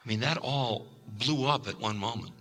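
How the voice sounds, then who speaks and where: A man giving a recorded spiritual lecture in a calm, reflective, measured way. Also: mid-range voice, soft background music. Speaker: spiritual teacher.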